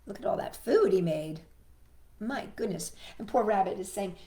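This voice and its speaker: A woman reads a picture book aloud as a storytime host. Her mellow voice moves widely in pitch as she addresses young listeners, and the room sounds echoey. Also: expressive, normal speed, a little hiss or hum. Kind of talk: animated children's picture-book read-aloud with asides.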